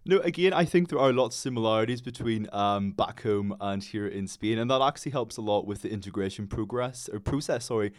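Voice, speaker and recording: A man's voice: lowish voice, fairly quick, hardly any pauses. A young man with a Northern Irish accent, a guest on a radio interview, talking in an upbeat, chatty and polite way. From Northern Ireland. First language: English. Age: young adult. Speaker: radio guest, student teacher.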